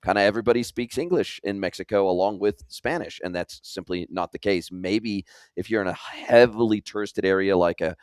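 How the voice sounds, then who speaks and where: A man's voice: on the low side, a little fast, clear, resonant tone, no echo. A man with a mixed American and New Zealand accent, hosting a podcast interview in an effusive, talkative, conversational way. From California, United States, later long in New Zealand. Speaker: podcast host.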